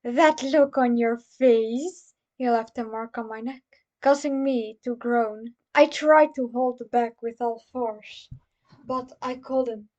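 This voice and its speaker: A woman with a very high voice reads a story aloud at an unhurried pace. Her delivery is dramatic first-person narration, and the recording sounds slightly dull. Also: steady tone, darkish tone. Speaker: fan fiction reader.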